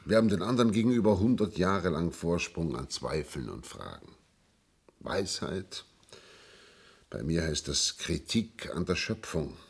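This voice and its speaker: Weary sceptic, low creaky voice, husky, bitter, radio drama. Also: male voice, lively intonation, a little slow, exaggerated voice. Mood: bitter, resigned. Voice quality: gravelly, husky. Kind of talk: German radio play acting.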